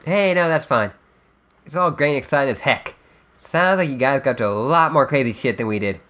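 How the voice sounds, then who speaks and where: A man voicing a chatty, flippant teenage girl in a webcomic dub, with wry, drawn-out emphasis. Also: mid-range voice, lively intonation, fairly bright tone, close to an everyday voice. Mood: breezy, flippant. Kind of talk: character dub reading of a webcomic.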